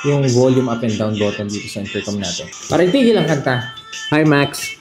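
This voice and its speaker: A man's voice: medium pitch, animated, fairly quick. A man with a Filipino accent giving a casual, conversational product walkthrough as a reviewer, explaining things and mixing in English phrases. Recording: music behind the voice.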